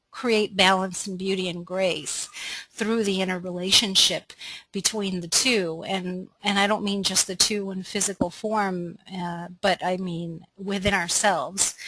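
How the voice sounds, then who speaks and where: A middle-aged American woman from Los Angeles hosting a class, introducing herself informally in a reflective, conversational way with pauses to gather her thoughts. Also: mid-range voice, fairly bright tone, low-quality recording. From Los Angeles, United States. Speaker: webinar host and astrology course facilitator.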